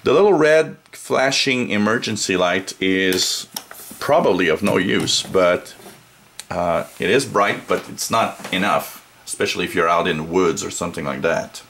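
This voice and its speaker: Low-pitched man reviewing a gadget, noisy recording. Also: a little slow. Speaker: product reviewer. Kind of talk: casual hands-on gadget review. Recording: noisy home recording, steady background noise.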